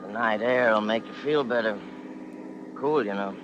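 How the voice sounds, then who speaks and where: A man's voice: on the low side, normal speed, close to an everyday voice. A man acting a polite, probing police chief in an old-time radio drama, casually asking leading questions. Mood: quietly probing. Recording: muffled, noisy old radio recording.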